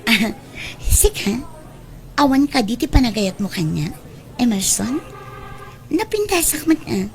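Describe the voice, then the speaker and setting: A woman's voice: fairly high pitch, sing-song, unhurried, low-quality recording. A woman acting a bold, forward young woman in an Ilocano radio drama, with an Ilocano Filipino accent. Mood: smitten, pleading.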